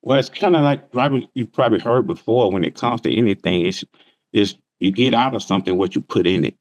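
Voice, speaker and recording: Very deep-voiced man on a call. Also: quick pace, darkish tone, dry recording, lo-fi sound. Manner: plainspoken, explains with everyday examples. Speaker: trading instructor. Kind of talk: casual interview answers. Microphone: remote call.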